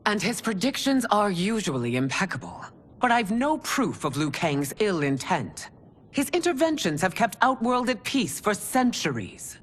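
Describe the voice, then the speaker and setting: A woman playing a stern middle-aged empress and mother in a video game cutscene, speaking formally and with measured command. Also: mid-range voice, very animated.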